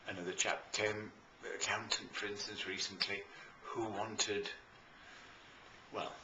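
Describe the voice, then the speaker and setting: Deep, bright-voiced British man in echoey room. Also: flat delivery, slow. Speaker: life and business coach promoting own services. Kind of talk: informal promotional talk to viewers. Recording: roomy echo, faint background noise.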